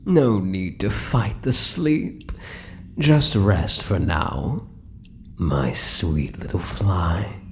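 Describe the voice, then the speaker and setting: Casting: male actor as British drider, possessive and doting, low dark voice, swooping intonation, intimate ASMR menace. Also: expressive, drawn-out words, slight background noise, full character voice. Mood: soothing, possessive. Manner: crooning, soft menace, breaking into whispers. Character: possessive, sinister yet doting male spider-human hybrid monster. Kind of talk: ASMR roleplay, intimate monologue to listener.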